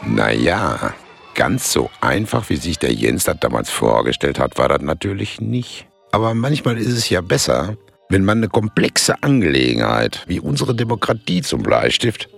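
An actor playing a folksy, laconic pub landlord in his sixties with a gravelly voice, in a radio play. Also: rumbling low, a bit bright, heavily acted. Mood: wry, reflective. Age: 60s. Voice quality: gravelly, creaky. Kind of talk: radio play acting, casual pub talk.

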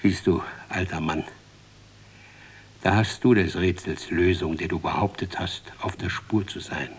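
Husky, grim, deep-voiced man. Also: steady tone. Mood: grim, hushed. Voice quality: husky, breathy. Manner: ominous, halting, dropping to whispers. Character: grim, urgent man warning an old drinker of death. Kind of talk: 1960s German radio drama acting.

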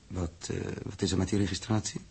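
An actor playing a questioning, sceptical male astronaut in a 1960s Dutch radio drama, halting when puzzled. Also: sits low, fairly even intonation, a little mellow, slightly rough, full character voice. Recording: muffled-edged old 1960s radio recording.

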